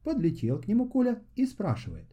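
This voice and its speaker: A male storyteller reads a children's folk tale. His voice is fairly high and mellow, with very lively intonation and an unhurried, expressive delivery. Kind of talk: scripted fairy-tale narration for children.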